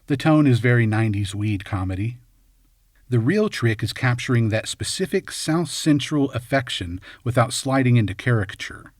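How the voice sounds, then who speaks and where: A man narrating a scripted film analysis in measured, short punchy phrases. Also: medium pitch, expressive, no room sound, studio quality. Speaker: video essay host and narrator.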